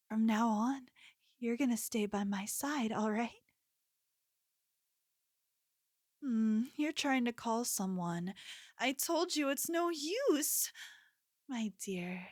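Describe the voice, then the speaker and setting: Woman acting a slow-spoken, possessive yandere girl. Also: a little high, a little mellow, dry recording, studio quality. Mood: cold, possessive. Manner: intimate, coaxing, possessive, addresses listener as a lover. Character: obsessive possessive yandere young woman, sweet yet menacing shop worker. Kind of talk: ASMR roleplay acting.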